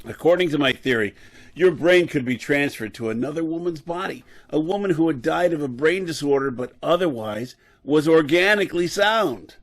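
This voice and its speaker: A man's voice: a little high, expressive, moderate pace. A man playing an embittered, drunken, disgraced professor and brain surgeon in an old-time radio drama, lecturing and faltering over his words. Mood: intense, persuasive.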